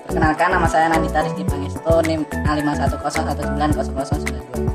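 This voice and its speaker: A man's voice: fairly high pitch, flat delivery, fast talker, over loud music. A young man, a student, giving a scripted introduction. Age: young adult.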